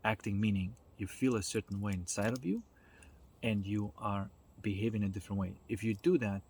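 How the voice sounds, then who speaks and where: Low-voiced man giving a reflective talk. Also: steady tone, darkish tone, husky. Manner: reflective, halting delivery with checking tags like "right?" and "you know". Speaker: speaker giving a self-development talk. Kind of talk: informal explanatory talk.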